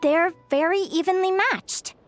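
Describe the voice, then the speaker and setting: A woman's voice: very high voice, a little slow. A woman voicing a cheerful, curious young bird-fae naturalist in a video game, speaking earnestly and articulately. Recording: music under the voice. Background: game music.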